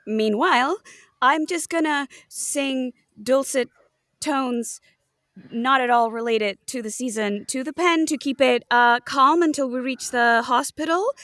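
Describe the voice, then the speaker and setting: A woman playing an anxious, dramatic hypochondriac writer, halting and theatrical, in a comic hospital audio drama. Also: high-pitched, fairly bright tone, no echo, clean studio sound. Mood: nervous.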